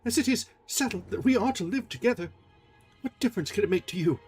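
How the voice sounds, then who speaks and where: Give me a man playing a terrified young woman in a storybook, high, husky and lively. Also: fast, faint music behind, heavily acted. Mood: coaxing. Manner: pleading, breathless and high. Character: frightened pleading young woman.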